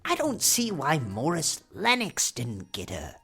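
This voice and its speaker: A plainspoken older countryman, performed by a man, hands out frank advice without hurrying. His voice roams widely up and down while he weighs each thought. There's a quiet trace of music underneath. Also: a little high, huge pitch swings, gravelly, exaggerated voice. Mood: critical. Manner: blunt, unhurried, reflective. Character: blunt older male farmer neighbor, plainspoken. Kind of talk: audiobook drama reading.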